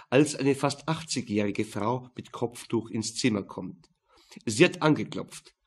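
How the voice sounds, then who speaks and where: A man narrating a crime novel as a scripted audiobook. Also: medium pitch.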